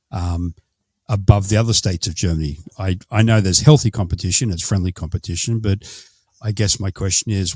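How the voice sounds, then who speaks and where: A man's voice: low-pitched, darkish tone, dry recording. A man hosting a travel podcast, asking chatty questions and often correcting himself as he goes. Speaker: podcast host. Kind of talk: casual interview.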